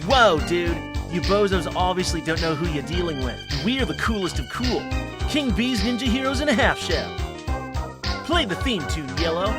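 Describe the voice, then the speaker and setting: A man's voice: high-pitched, very animated, normal speed. Man doing a cocky surfer-dude turtle henchman, music behind. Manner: slangy surfer-dude talk. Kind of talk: fan cartoon overdub.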